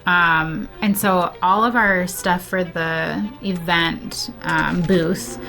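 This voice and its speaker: An American woman chats casually as a livestream guest. Her pitch is a little low for a woman, and her delivery is informal and conversational. Music is clearly heard behind her. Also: steady tone, slow pace, pushed and bright. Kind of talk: casual chat. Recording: prominent background music under the voice.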